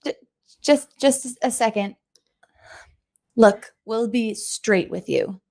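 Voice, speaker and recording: Slow-talking playful woman player. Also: fairly high pitch, vocal fry, a little mellow. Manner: slow, hesitant and playful, often laughing. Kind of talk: in-character roleplay in a tabletop game.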